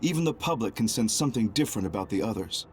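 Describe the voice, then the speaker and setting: Guy playing a low voiced young double agent, calm n formal, very serious, anime game cutscene style. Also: natural voice. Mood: grave, composed. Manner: measured, formal, grave. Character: composed, calculating young male double agent, quietly remorseful.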